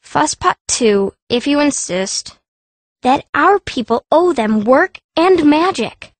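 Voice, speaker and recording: A woman's voice: sits high, no room sound. A woman voicing a fierce, cranky young girl mage, blunt and grumbling, in a first-person fantasy audiobook. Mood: scornful.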